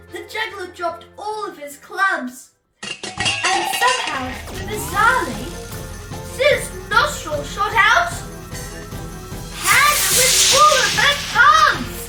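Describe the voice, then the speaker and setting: A woman reading a children's storybook aloud as host, in a theatrical, exclamatory way with drawn-out emphasis. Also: extremely high pitch, recorded from a distance. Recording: roomy echo, off-mic, music bed.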